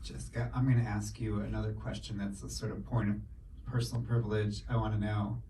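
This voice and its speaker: A man's voice: fairly low pitch, flat delivery, fairly quick, warm tone, slight background noise. A man moderating a Q&A after a film screening, asking questions in a playful, eager way. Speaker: panel moderator. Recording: roomy hall, distant mic.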